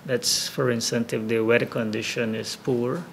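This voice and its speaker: A man gives an explanatory interview answer as an official. His medium-pitched voice is heavily creaky, and there is some noise behind him. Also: little pitch movement, normal speed. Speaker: aviation official explaining operations. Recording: noisy location interview.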